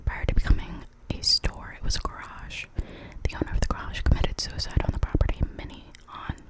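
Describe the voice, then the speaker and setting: An ASMR storyteller reading spooky local legends in a soft, unvoiced whisper, now and then stumbling and correcting themself. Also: brisk. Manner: soft unvoiced whisper throughout, with small slips and self-corrections.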